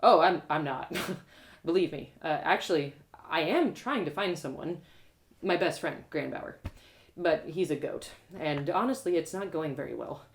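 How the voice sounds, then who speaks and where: A woman's voice: low-pitched, animated, unhurried. A woman voicing an eager, sheltered young girl in a storybook, hesitant, apologetic and rambling. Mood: earnest.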